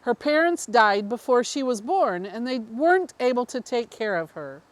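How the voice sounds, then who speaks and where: A woman's voice: a little high, sing-song, moderate pace, no room sound. A woman reading the part of an undercover alien woman and devoted mother, explaining her mission in an earnest, wry, matter-of-fact way. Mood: earnest, resolute. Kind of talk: first-person story reading.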